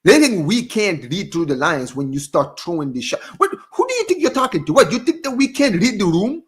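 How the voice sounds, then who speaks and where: A man from Africa hosting a casual football podcast, indignant and animated, calling his co-host "bro". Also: high-pitched, brisk.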